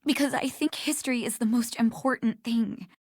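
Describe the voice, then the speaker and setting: A woman's voice: on the high side, normal speed, a little mellow, no echo, lightly acted. Casting: actress playing earnest young woman in a mystery game, guarded and protective, emphatic delivery, close dry recording. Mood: confessional, upset. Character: earnest young woman museum worker, protective of her sister. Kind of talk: video game voice acting.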